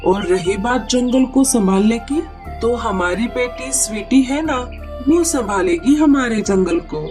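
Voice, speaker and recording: A woman's voice: fairly high pitch, expressive, a little slow, music in the background. A woman voicing an indulgent mother bird queen in a cartoon story, coaxing and protective. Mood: reassuring. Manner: coaxing, protective of her child. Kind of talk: Hindi cartoon story acting.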